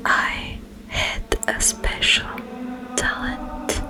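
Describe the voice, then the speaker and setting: An eerie, tormented demon played by a woman whispers a tale in an ASMR roleplay. The voice is breathy and mostly unvoiced, creeping along extremely slowly in short, hushed phrases. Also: over music. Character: eerie sleep paralysis demon, once a tormented monk. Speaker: ASMR performer.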